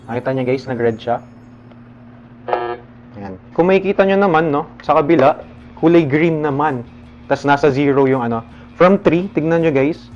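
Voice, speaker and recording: Male Filipino product presenter, casual explainer delivery, medium-high pitch, lo-fi recording with music underneath. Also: moderate pace, pauses often, a little mellow. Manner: instructional, addresses viewers informally as guys. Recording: dull lo-fi sound under music. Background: background music.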